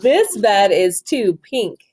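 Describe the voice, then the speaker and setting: A woman's voice: mid-range voice, sing-song, slow, darkish tone, husky, heavily acted. A woman voicing a fussy young male bear in a storybook.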